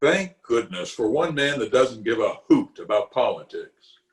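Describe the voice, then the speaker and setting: Man acting as pompous middle-aged official, blustery, exasperated, wildly animated pitch, old-time radio comedy, roomy video-call sound. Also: unhurried. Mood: grumpy. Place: home, recorded over video call.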